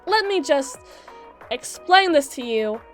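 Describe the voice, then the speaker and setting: Woman acting a sassy, higher-voiced mermaid lawyer. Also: lively intonation, slow, soft background music. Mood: frank. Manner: theatrical, wordy, sardonic, talking to herself. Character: brisk, sassy mermaid public attorney, female. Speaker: voice actor in audio roleplay. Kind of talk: scripted ASMR roleplay monologue.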